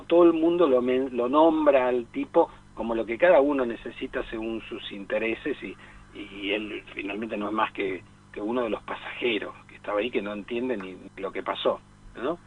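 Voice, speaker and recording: A man's voice: mid-range voice, normal speed, a little hiss or hum. A man talking casually as a film expert on a radio programme, digressing and repeating phrases for emphasis. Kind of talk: casual radio discussion of cinema. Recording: tinny phone-like radio line.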